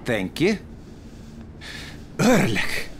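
An actor playing an affable ship's officer on watch in an audio drama, courteous and clipped. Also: male voice, mid-range voice, wildly swooping pitch, drawn-out words, a bit bright, slight background noise, exaggerated voice. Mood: genial.

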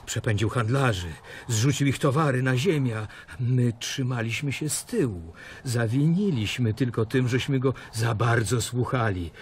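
A man's voice: mid-range voice, normal speed, lightly acted. A man plays a cowardly, frightened disciple in a radio drama. He pleads and excuses himself in a mid-range voice, with faint ambient noise behind him. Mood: pleading, fearful.

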